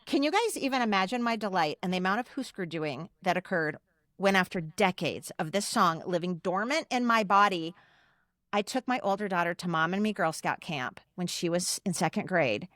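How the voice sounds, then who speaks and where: A middle-aged woman co-hosting a podcast, reminiscing casually in an exuberant, animated storytelling way. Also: fairly low pitch, moderate pace, dry recording, clean studio sound. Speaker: podcast co-host.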